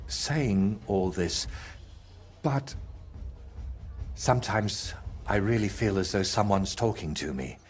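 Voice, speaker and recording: An actor playing a troubled, anxious painter in a video game, speaking haltingly and drifting into mutters. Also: male voice, on the low side, slightly rough, exaggerated voice. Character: troubled, haunted male painter, anxious and distracted. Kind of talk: naturalistic video game acting.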